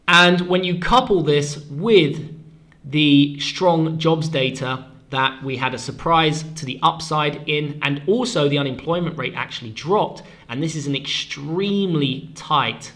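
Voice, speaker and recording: A man with a slightly stuffed-up voice from a cold, hosting a weekly currency market forecast in a brisk, explanatory way and stressing key words. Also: on the high side, sharp tone. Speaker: forex analyst and show host.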